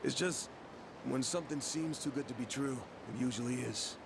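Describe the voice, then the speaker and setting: A man's voice: medium pitch, moderate pace, creaky, natural voice. An actor playing a meek, wary and polite homeless man in a video game, speaking hesitantly. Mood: wary, regretful. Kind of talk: dramatic video game voice acting. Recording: ambient noise behind voice.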